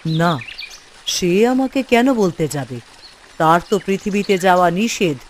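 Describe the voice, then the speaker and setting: A woman's voice: fairly low pitch, expressive, unhurried, slight background noise. A woman voicing a grave, guilt-burdened fairy queen in a fairy-tale cartoon, solemn and reflective. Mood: remorseful.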